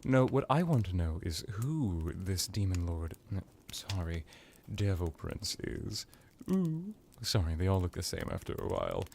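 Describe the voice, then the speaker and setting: A man playing a suave, menacing vampire lord in an ASMR roleplay, musing languidly with drawn-out words and condescending affection. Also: sits low, wildly swooping pitch, vocal fry, darkish tone, a little hiss or hum, heavily acted. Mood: coolly menacing. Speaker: character roleplay performer. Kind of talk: ASMR villain roleplay monologue.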